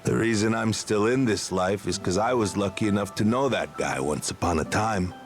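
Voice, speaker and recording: An actor playing a good-natured, nostalgic old friend from seafaring days in a video game. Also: male voice, lowish voice, moderate pace, soft background music, natural voice.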